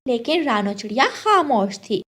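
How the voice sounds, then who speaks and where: A female narrator delivers a scripted cartoon tale. Her voice is high. Her intonation moves up and down a lot, in a storybook style. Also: very animated, normal speed, a bit bright.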